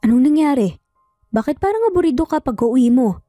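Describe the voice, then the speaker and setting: A woman's voice: high-pitched, sing-song, a little fast, dark tone, no echo, studio quality. Woman as concerned, probing older sister. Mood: inquisitive. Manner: probing, knowing. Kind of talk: Tagalog romance drama storytelling. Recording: music bed under the voice.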